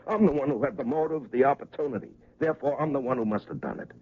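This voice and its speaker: A man's voice: mid-range voice, quick pace, breathy edge, lightly acted. An actor playing a distraught, fatalistic male cop in a 1970s radio drama, speaking in agitated, emphatic repetitions. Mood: desperate. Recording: dull, faintly noisy old radio recording.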